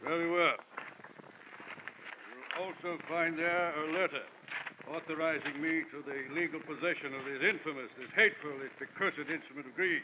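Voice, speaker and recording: An actor playing a florid, jovial and pompous gentleman investigator from London with a villain's air, in 1940s radio style. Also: on the high side, lightly acted. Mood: smooth, grandiose. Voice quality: voice like a portly classic-film villain. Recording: muffled, hissy old radio recording.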